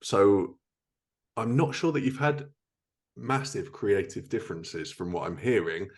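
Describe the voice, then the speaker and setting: A man hosting a podcast, speaking conversationally in a measured, reflective way. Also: fairly low pitch, animated. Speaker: podcast host. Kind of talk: conversational interviewing.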